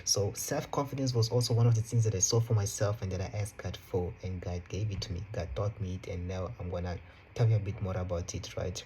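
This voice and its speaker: Young man, about twenty, Portuguese native speaker; mellow, relaxed, motivational vlog to camera. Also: sits low, a little hiss or hum, low-quality recording. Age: young adult. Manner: relaxed, unhurried, repeats phrases, fillers like "you know" and "right". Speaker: vlogger. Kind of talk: casual motivational talk to camera. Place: his room.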